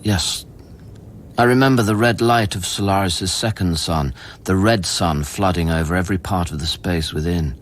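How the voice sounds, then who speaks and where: An actor playing an earnest scientist on a space station in a radio play, brooding and deliberate in narration, urgent when questioning. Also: male voice, sits low, slight background noise, natural voice. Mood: brooding, reflective. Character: earnest male scientist newly arrived on space station, recounting events. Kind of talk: radio drama acting with first-person narration. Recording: music behind the voice.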